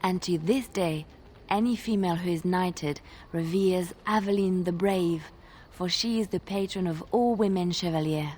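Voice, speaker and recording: A woman's voice: lowish voice, normal speed, darkish tone, slight background noise, natural voice. An actress playing an earnest female bard in a video game, recounting a legend in a reverent storytelling manner. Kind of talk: video game character acting.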